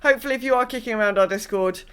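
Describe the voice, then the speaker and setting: A woman's voice: on the high side, very fast, a bit bright, smooth. A woman hosting a podcast, chatting casually about gaming in a wry way and slipping into imagined quotes. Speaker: podcast host.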